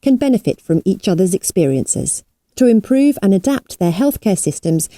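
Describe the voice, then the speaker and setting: A woman reading scripted documentary narration in a measured, formal way. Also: medium pitch, big pitch swings, dark tone, no room sound. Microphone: studio voice-over.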